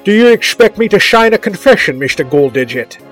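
Male actor, wry writer, theatrical, lively swinging intonation, comedy audio drama. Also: high-pitched, a little fast, faint music behind. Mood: mock-heroic.

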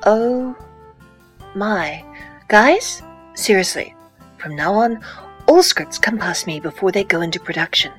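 Withering script editor woman acting: deliberate, emphatic, very expressive disdain for comic radio play. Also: medium pitch, soft background music. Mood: disdainful. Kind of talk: comedy audio drama acting.

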